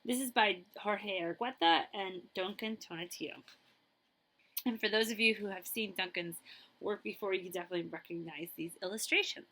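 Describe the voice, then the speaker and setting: A woman's voice: mid-range voice, normal speed, bright, forceful tone. A woman school librarian reading a children's picture book aloud expressively, with chatty asides to her listeners.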